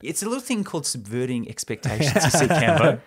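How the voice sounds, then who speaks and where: A man's voice: mid-range voice, big pitch swings, fast, husky. A young man hosting a podcast, retelling a film script casually and with slangy enthusiasm, close to the microphone. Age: young adult. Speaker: podcast host. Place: small room indoors.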